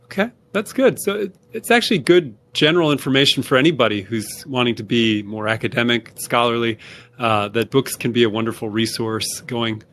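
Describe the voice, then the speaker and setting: A man's voice: mid-range voice, fairly quick, fairly bright tone, a little hiss or hum. A man hosting an online interview, enthusiastic and jokey, often repeating words as he talks. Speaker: interview host.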